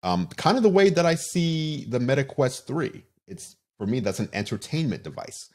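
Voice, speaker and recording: A man's voice: mid-range voice, very animated, moderate pace, a little mellow. A man hosting a casual video podcast, leading the talk and asking follow-up questions. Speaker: podcast host. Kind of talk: casual chat.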